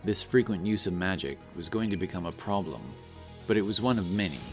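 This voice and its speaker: A man's voice: deep voice, expressive, a little fast, faint music behind. A man reading scripted fantasy fiction as a dramatic audiobook narrator.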